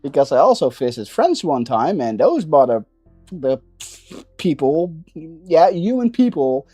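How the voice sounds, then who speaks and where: Guy muttering thru a build on stream, halting, mellow voice, music in the background. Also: fairly high pitch, sing-song, husky, dry recording. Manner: halting, repeats words, thinking aloud. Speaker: maker livestreaming a 3D printing build. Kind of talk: casual chat while working.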